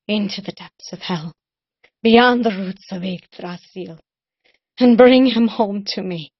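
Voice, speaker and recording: A grieving young elf warrior woman, as a woman reader puts her on, torn between vengeance and doubt. She speaks low and husky, often dropping to a whisper. The recording is dry and dull. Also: steady tone, moderate pace, no room sound. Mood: bitter demand.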